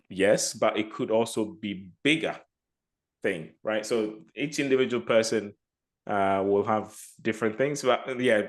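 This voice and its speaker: A man speaking as a guest coach in an interview, reflective and often restarting his phrases. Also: fairly low pitch. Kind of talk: casual interview chat.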